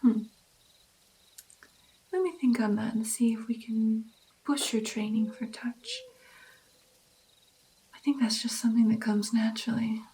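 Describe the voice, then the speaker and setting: A woman plays a female vampire mentor in an intimate ASMR roleplay. The voice is soft, mellow and slightly high, often sinking into a breathy whisper. Each phrase is unhurried, coaxing the pupil along with gentle pride and playful affection. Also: rough recording. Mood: thoughtful, instructing. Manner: slow, coaxing, encouraging, lingering over phrases. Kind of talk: ASMR roleplay, personal attention.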